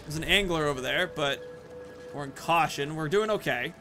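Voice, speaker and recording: A man's voice: a little high, moderate pace. A man hosting a gaming video, giving casual commentary and thinking aloud about his moves and choices. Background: video game music.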